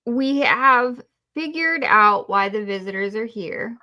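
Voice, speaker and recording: A woman with a slightly high voice speaks as a podcast co-host. She gives casual critical commentary in a blunt, opinionated way. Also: lively intonation, takes it slowly, bright, forceful tone. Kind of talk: casual critical review chat.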